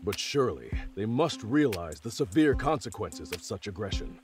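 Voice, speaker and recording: Military general, middle-aged male, video game. Formal and dutiful, with lively intonation. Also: on the low side, music in the background. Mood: measured, serious. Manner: formal, military, measured phrasing. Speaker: army general character. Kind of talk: English video game voice acting.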